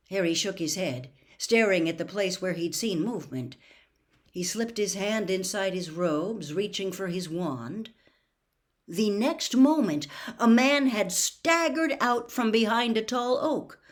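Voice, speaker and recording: A woman narrates a storybook in an expressive audiobook style. Her medium-pitched voice moves up and down in a lively way.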